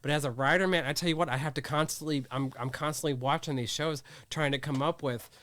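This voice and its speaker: A man's voice: fairly high pitch, fairly even intonation, fast, fairly bright tone. A man in his thirties chatting casually in an interview, rambling and restarting often. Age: 30s. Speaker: magazine editor and producer.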